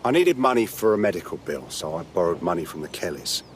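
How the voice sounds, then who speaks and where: A man's voice: low-pitched, lively intonation, fast, slight background noise. A man playing an earnest, hard-up ordinary Londoner in a video game, with a British accent. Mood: worried.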